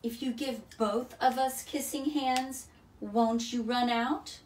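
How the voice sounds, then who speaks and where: A woman's voice: on the high side, fairly even intonation, slow, a little mellow, reverberant, a little hiss or hum, pitched above the performer's own voice, rough recording. A woman voicing a small, jealous boy raccoon who sounds wounded and anxious in a storybook reading. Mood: anxious, curious. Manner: plaintive, questioning, wounded.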